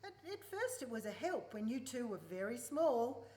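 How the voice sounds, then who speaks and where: Woman acting a high, lively, prickly ageing mother. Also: normal speed. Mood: reflective. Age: older. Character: prickly, wry ageing farm mother, protective of her daughter. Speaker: actor in a live radio play. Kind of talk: radio play dialogue. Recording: roomy live recording with clear background noise.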